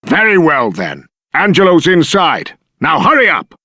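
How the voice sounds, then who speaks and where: An actor playing a haughty, impatient Templar guard in a video game, stern and disdainful. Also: male voice, on the high side, huge pitch swings, a little slow, sharp tone, no room sound, exaggerated voice. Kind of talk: video game character acting.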